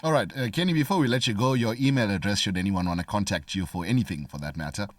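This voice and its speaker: A man hosting a radio show, relaxed and affirming as he wraps up with a guest. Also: on the low side, fast talker, no echo, recorded from a distance. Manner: easygoing, affirming. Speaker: radio host. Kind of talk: casual radio chat.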